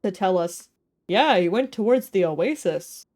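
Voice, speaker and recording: A woman's voice: mid-range voice, lively intonation, unhurried, a little mellow. A woman chatting casually and reacting aloud as she streams a game. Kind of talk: casual live gameplay commentary. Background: game music.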